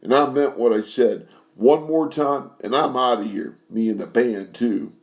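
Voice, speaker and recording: A man's voice: mid-range voice, measured pace, gravelly, pitched above the performer's own voice. A man voicing a huge, hot-tempered band leader who blusters and threatens. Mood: angry.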